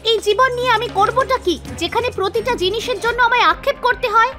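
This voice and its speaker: A woman voicing a demanding, quarrelsome wife who scolds and complains in an animated storybook tale. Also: very high-pitched, brisk, soft background music, pitched above the performer's own voice. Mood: angry, complaining.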